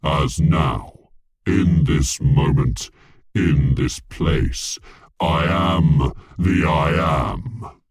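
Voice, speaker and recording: An ancient winged archangel speaks in an extremely deep, gravelly rumble. Each word comes slow, heavy and husky. The recording is dry and close. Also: male voice, no room sound, heavily acted. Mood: solemn. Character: ancient enslaved winged archangel, male, vast, solemn.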